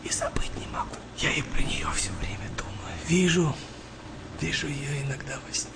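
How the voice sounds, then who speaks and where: An actor playing a shy, sensitive, worn-out eighteen-year-old sailor in a radio play, confiding in a hesitant, naive way. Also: male voice, fairly high pitch, huge pitch swings, moderate pace, in a whisper. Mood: shy, wistful. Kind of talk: naturalistic radio play dialogue.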